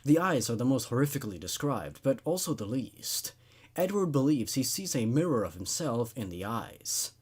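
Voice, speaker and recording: A man voicing a measured, curious male psychiatrist with a clinical manner in a horror story reading. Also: medium pitch, very animated, husky. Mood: intrigued. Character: calm, probing male psychiatrist with secret obsession.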